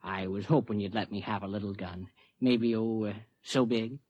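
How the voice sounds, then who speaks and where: A man's voice: lowish voice, steady tone, normal speed, darkish tone, dry recording, natural voice. Man acting a meek, pious fanatic, muffled old recording. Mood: meek, pleading. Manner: hesitant, apologetic, sanctimonious. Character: meek-sounding, pious male religious fanatic neighbor. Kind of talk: 1950s radio drama acting. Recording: dull, dry vintage radio recording.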